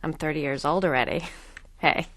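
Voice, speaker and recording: An actress playing a manic, self-absorbed thirty-year-old author in a satirical audio drama, flippant and rambling. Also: female voice, low voice, moderate pace, no room sound, natural voice.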